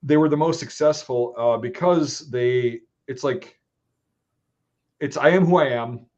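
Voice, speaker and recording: A man with a Northern Midwest accent, thinking out loud in a casual conversation. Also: mid-range voice, a little slow. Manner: rambling, restarts, thinking aloud. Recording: dull lo-fi sound.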